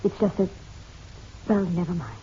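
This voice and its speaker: A woman's voice: fairly low pitch, animated, moderate pace, mellow, husky. Proud, bitter society mother. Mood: bitter, firm. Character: genteel, proud, bitter middle-aged divorced mother. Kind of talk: noir radio drama acting. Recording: dull, slightly noisy radio-drama recording.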